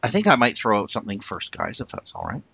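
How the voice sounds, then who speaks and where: A man co-hosting a casual discussion, talking in an earnest, reflective way and slowing down to stress his points. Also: fairly low pitch, huge pitch swings, creaky, bright, forceful tone, husky, dry recording. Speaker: podcast co-host.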